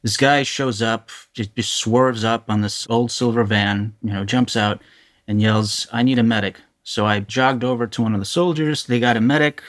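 A man being interviewed as a journalist, giving a candid first-person account in a halting, hesitant way. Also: mid-range voice, fairly even intonation.

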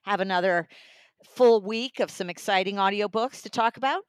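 Casting: female reviewer, smooth clear voice, close dry sound, enthusiastic in casual discussion. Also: mid-range voice, moderate pace, no room sound. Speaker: audiobook reviewer.

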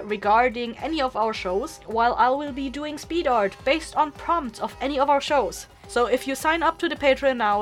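A woman hosting a podcast, giving announcements to listeners in a chatty, informal, upbeat way. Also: fairly high pitch. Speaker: podcast creator and host. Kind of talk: casual scripted announcement to listeners.

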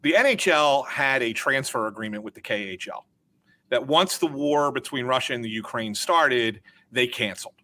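Man, hockey reporter, bright tone, careful hedged explainer. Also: mid-range voice, lively intonation. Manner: measured, cautious explaining with fillers. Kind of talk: casual sports talk.

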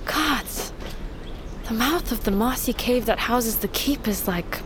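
A woman's voice: on the high side, expressive, takes it slowly. A woman acting in an audio drama as a plucky, loyal and frank young daughter. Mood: curious, awed. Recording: clear ambience noise behind.

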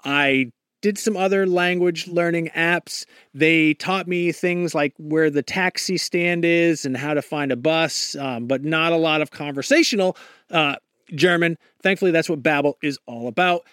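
A man's voice: on the high side, fairly bright tone, dry recording, studio quality. A man casually reading a podcast ad, joking and rambling with fillers as he goes. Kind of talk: casual ad read.

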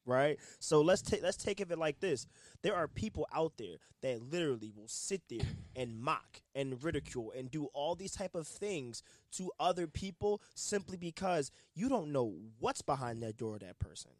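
A man co-hosting a casual group conversation, animated and argumentative, swearing casually. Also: a little high, normal speed, no echo. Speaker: podcast co-host.

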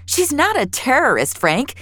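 A woman's voice: high voice, huge pitch swings, unhurried, fairly bright tone, dry recording, voice pushed up, heavily acted. A woman performing an anguished, defiant female agent on the run in an emphatic, emotional audio fiction style. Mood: defiant, desperate.

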